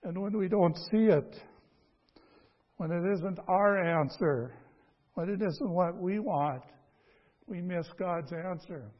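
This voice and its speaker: Guy preacher giving a folksy sermon, high husky voice, keeps repeating himself, kinda dull lo-fi recording. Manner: folksy, halting, repeats phrases, asks rhetorical questions. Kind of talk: conversational sermon with scripture reading.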